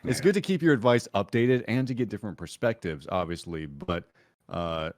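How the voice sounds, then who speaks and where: A man's voice: fairly low pitch, normal speed, a little mellow, no room sound, clean studio sound. A man in a casual panel chat giving earnest, explanatory advice as a voice actor and podcast guest.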